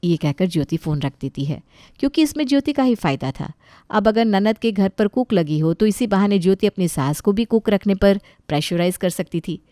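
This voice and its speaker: A woman's voice: medium pitch, lively intonation, brisk, mellow, dry recording, clean studio sound. A woman storyteller reading scripted narration of a family tale.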